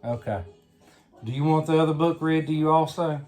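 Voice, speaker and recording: A woman reading a picture book aloud to a young child, pausing to ask simple questions. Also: deep voice, a little mellow, soft background music. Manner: simple questions and prompts addressed to a small child. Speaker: bedtime story reader.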